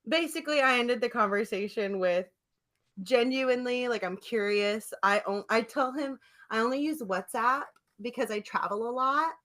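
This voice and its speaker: A woman's voice: on the high side, moderate pace, a bit bright. A young woman hosting a podcast, telling anecdotes in casual chat and laughing often. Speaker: podcast host.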